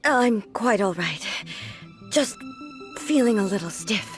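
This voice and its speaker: A woman voicing a composed, formal immortal female ruler in a video game, speaking softly with measured restraint and a wistful air. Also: mid-range voice, lively intonation, soft background music.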